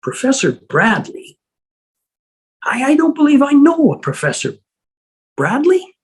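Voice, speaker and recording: An amateur actor playing a genial, self-satisfied asylum doctor in a table read, formal and measured. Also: high voice, big pitch swings. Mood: hesitant, indulgent. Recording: video call with music underneath. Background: music.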